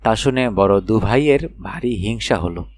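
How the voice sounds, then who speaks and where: A man narrating a children's fairy tale audiobook in an expressive storytelling style. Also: lowish voice, moderate pace, dry recording.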